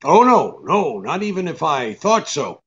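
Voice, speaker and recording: An actor playing a tough, wisecracking, impatient private detective in a radio drama, brusque and sardonic. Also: male voice, a little high, sing-song, a little slow, a bit bright, slightly rough, dull audio, exaggerated voice. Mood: cool. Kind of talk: hardboiled radio drama acting with narration.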